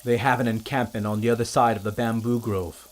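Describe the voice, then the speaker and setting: An actor playing a dignified island king who speaks in measured, formal sentences. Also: mid-range voice, little pitch movement, natural voice. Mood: grave. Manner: measured, formal and stately speech. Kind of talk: audio drama acting.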